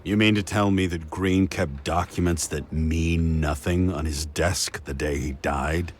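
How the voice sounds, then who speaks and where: An actor playing a weary, cynical noir detective in a video game, terse and haunted, with a gravelly voice. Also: male voice, rumbling low, fairly even intonation, unhurried, slight background noise. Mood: businesslike. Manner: brooding, terse, world-weary. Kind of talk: noir voice-over and game dialogue. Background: prominent music.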